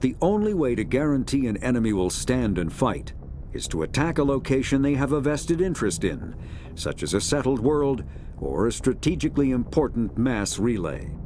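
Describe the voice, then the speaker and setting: A man narrating a science fiction game's encyclopedia in a measured, formal, authoritative documentary style. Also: mid-range voice, big pitch swings, mellow, close to an everyday voice. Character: formal male narrator of a sci-fi codex. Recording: music behind the voice. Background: clear background music.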